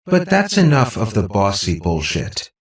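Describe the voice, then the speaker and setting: A man's voice: on the low side, wildly swooping pitch, measured pace, echoey room, recorded from a distance. A man voicing a cruel, taunting robot girl with a mocking, falsely sweet manner in an audiobook story. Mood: menacing.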